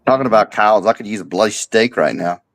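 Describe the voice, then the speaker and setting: A man's voice: on the low side, fast, a bit bright. A man putting on a brash, cheeky Australian bloke, joking and playing dumb in a casual chat game.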